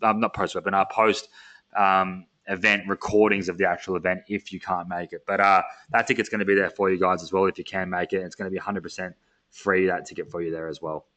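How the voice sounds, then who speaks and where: This is a young man wrapping up a sales webinar. His voice sits on the low side, and he talks casually with lots of fillers. His energy is upbeat and full of hype. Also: fairly even intonation, quick pace, sharp tone. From Australia. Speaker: webinar host.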